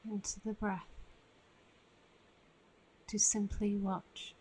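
A woman guiding a meditation in a soothing, unhurried manner with long pauses between phrases. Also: mid-range voice, flat delivery, mellow, slight background noise. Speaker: meditation guide. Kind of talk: guided meditation narration.

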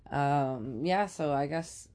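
A woman co-hosting a podcast, chatting casually in a rambling, filler-heavy way, her voice congested and raspy from a cold. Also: sits low, takes it slowly, darkish tone. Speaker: podcast co-host. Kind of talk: casual chat.

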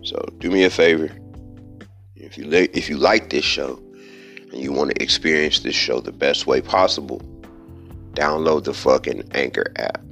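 A man's voice: a bass voice, normal speed, vocal fry, fairly bright tone, faint music behind. A man hosting a podcast, talking casually and informally with slang and the odd swear word. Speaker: podcast host.

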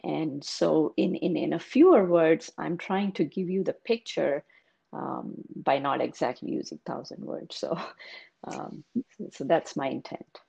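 Brief: woman author, low gravelly voice, reflective interview answers. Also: a little slow, dark tone. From India. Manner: thoughtful, explanatory. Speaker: author, guest.

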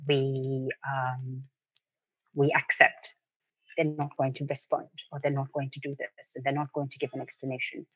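A woman giving considered, deliberate interview answers, building her points with emphatic repetition, on a muffled lo-fi line. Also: rumbling low, little pitch movement, fairly bright tone, husky, no echo. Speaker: interview guest, human rights advocate.

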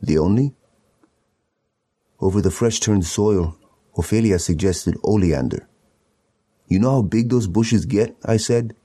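Dark, low-voiced man reading poetry. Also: unhurried, long pauses, slightly rough, no echo. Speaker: poetry reader.

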